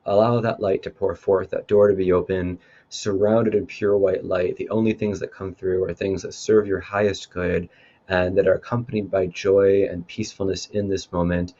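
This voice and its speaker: A man leading a guided meditation in a calm, unhurried, instructive way. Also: low-pitched, lo-fi sound. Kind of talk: guided meditation, spoken softly to listeners.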